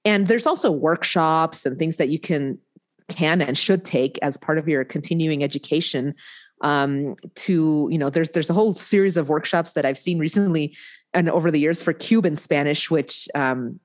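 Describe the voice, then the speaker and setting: A woman's voice: deep voice, moderate pace. A woman, a court interpreter from the United States, talking casually in an interview with frequent laughs. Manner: chatty, laughing, warm asides.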